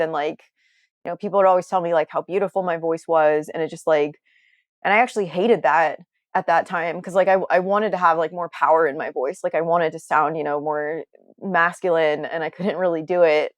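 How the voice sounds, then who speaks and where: A woman's voice: fairly low pitch, no room sound. A young adult woman talking as an interview guest, candid and earnest, meandering and often circling back mid-sentence.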